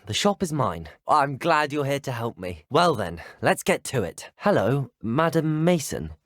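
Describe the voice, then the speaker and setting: Young British wizard student, courteous, earnest, formal wording, animated pitch, close dry sound, game dialogue. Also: male voice, a little slow, dry recording. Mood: polite, earnest. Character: polite, earnest teenage male student wizard. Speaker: video game player character.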